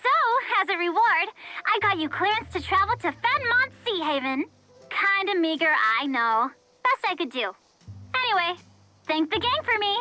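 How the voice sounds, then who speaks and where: An actress playing a chatty, gossipy young woman in a video game, dramatic and snarky with drawn-out emphasis. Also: extremely high pitch, very animated, pushed and bright, full character voice. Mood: cheerful, breezy. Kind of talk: animated video game voice acting. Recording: studio voice over background music.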